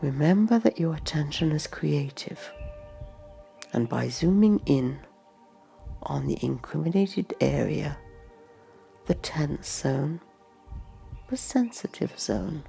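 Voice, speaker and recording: A woman guiding a meditation, speaking gently in short, spaced-out instructions. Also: deep voice, lively intonation, creaky. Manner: gentle, unhurried instructions in short phrases with long pauses. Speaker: meditation guide. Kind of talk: slow guided meditation narration. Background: music and nature sounds.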